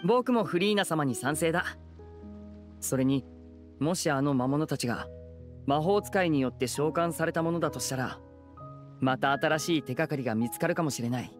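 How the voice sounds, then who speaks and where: A man's voice: fairly high pitch, moderate pace, soft background music, close to an everyday voice. A man playing a polite, earnest young man in a video game, reasoning things out in a courteous, thoughtful way. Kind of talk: scripted game dialogue.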